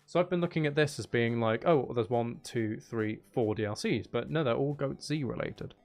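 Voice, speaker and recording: A man's voice: mid-range voice, moderate pace, vocal fry, a little mellow, faint music behind. A man giving casual livestream commentary on a video game, talking to himself with mutters and exclamations. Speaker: game streamer.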